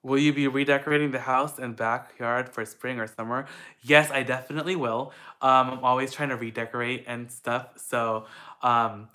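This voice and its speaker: A man's voice: mid-range voice, fairly even intonation, moderate pace, sharp tone. A young man from the Philippines chatting casually at home, answering viewer questions in an upbeat way with lots of fillers. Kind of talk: casual Q&A chat.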